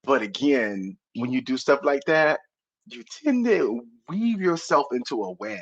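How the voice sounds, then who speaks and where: Chatty woman w/ husky voice, pitch all over the place, dull lo-fi audio. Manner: chatty, animated slang talker. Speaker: panel guest. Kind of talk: casual panel chat.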